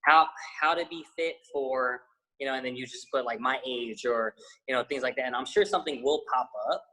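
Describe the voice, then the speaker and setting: A man's voice: mid-range voice, fairly quick, poor audio. A young man, a fitness trainer, chatting casually as an interview guest, rambling with lots of fillers and restarts. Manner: says he has a loud voice.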